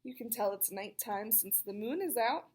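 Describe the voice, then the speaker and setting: Woman, high voice, picture book read-aloud, expressive storytelling, chatty explanatory asides. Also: moderate pace, breathy edge.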